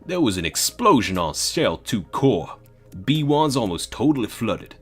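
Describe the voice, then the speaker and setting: A British man voicing a male marine commander, pushing his voice deeper. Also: very animated, unhurried, soft background music. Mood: tense. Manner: forcing his voice lower.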